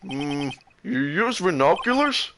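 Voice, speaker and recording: A man voicing a stern old male judge from a video game, formal, solemn and deliberate. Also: fairly high pitch, big pitch swings, full character voice. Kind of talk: video game character voice-over.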